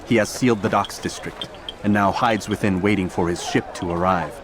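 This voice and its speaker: Guy playing a calm assassin, low measured voice, confident, game cutscene with noise behind. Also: natural voice. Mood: calm, assured. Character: calm, confident young medieval assassin on a mission.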